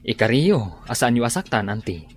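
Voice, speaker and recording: An actor playing an anxious, respectful nephew in an Ilocano radio drama, with an Ilocano accent. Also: male voice, medium pitch, sing-song, normal speed, slightly rough. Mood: uneasy. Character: anxious, respectful nephew reluctantly informing his aunt.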